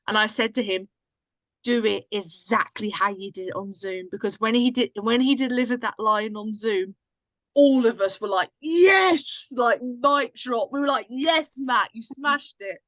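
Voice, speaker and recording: A woman's voice: fairly high pitch, measured pace, fairly bright tone, no room sound. A woman in casual conversation as a podcast guest, talking in an enthusiastic, slangy, emphatic way. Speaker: podcast guest, drama writer and cast member. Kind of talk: casual chat.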